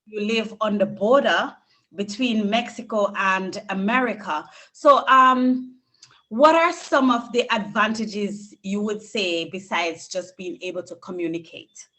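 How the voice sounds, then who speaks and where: A woman's voice: mid-range voice, measured pace, bright, forceful tone, poor audio. A woman, hosting a conversational interview over a video call. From Jamaica. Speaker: interviewer at a school. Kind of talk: conversational interview with prepared questions.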